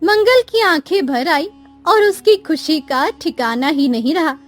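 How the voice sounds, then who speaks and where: A woman's voice: high-pitched, animated, unhurried, soft background music. A woman as narrator, reading a scripted moral story for a cartoon.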